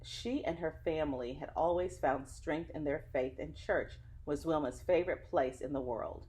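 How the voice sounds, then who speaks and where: A woman with a lowish voice reads a picture book to children. Her intonation swings widely and her phrasing is dramatic. She addresses young listeners directly, in the way of a teacher at story time. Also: darkish tone, low-quality recording. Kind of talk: children's read-aloud storytelling with asides to listeners.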